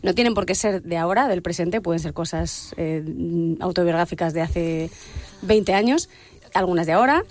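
Brief: woman, singer guest, open and thoughtful, mid-pitched, faint music under her. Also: darkish tone. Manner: candid, reflective, quick to laugh. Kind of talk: radio interview chat. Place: radio studio.

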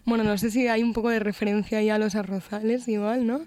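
Mellow young Galician woman, soft voice. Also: mid-range voice, quick pace. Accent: light Galician. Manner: relaxed, thoughtful, uses many fillers. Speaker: musician guest. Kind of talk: radio interview. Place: radio studio.

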